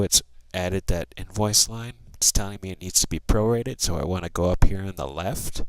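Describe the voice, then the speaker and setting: A man's voice: sits low, a bit bright, smooth, dry recording, poor audio. A man narrating a step-by-step screencast tutorial in a plain, procedural way, thinking aloud as he works. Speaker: software trainer.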